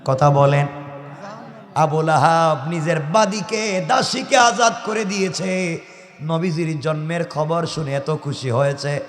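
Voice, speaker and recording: Male Islamic preacher, high voice, long reverb, impassioned storytelling, exhorting delivery. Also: slow. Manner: exhorting, rhetorical questions, swells from low phrases to loud cries. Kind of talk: impassioned religious sermon, storytelling to a congregation. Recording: echoey hall PA, long reverb.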